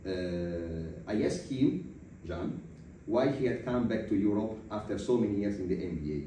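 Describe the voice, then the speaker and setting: A man's voice: fairly low pitch, lively intonation, normal speed, mellow. A man hosting a podcast interview, asking questions in formal phrasing broken by many hesitations. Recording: roomy echo, distant mic, faint noise.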